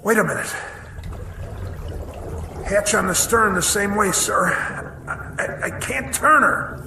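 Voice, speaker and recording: An actor playing an earnest, uneasy navy diver who reports dutifully and haltingly to his superiors in a radio drama. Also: high-pitched, expressive, gravelly, sharp tone, exaggerated voice. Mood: strained, urgent. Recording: noisy, echoing radio drama sound.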